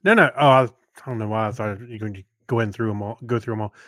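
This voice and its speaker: A man's voice: fairly low pitch, rapid-fire, a bit bright, dry recording, studio quality. A man chatting casually as a podcast co-host in an easygoing, agreeable way. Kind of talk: casual chat.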